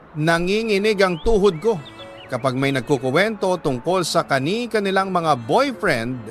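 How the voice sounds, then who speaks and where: A man with a Filipino accent reading a listener's letter as a radio drama host, in a sorrowful, deliberate storytelling manner with dramatic pauses. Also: sits high, fairly bright tone.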